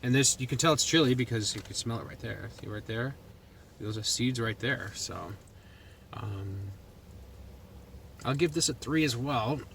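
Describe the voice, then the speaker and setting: A man's voice: medium pitch, a little slow, creaky, long pauses, fairly bright tone. A man with a Boston accent reviewing food in casual, rambling chat to the camera, thinking aloud as he goes. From New England, United States. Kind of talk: casual chat to camera while taste-testing. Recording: noticeable background noise.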